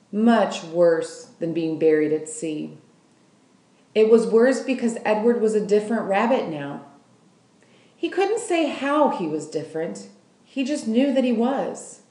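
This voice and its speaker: Audiobook-style female narrator for a children's novel, unhurried and deliberate, mid-pitched, roomy echo. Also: dark tone. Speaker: school staff member reading aloud. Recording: roomy, echoing room sound.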